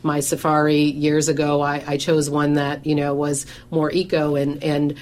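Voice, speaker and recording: Middle-aged lady organizer on radio, deep voice, big carrying voice, says "you know" a lot. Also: monotone, moderate pace, a little mellow. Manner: says she has a big voice that carries; conversational, many fillers and restarts. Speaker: professional organizer and coach. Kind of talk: radio show conversation.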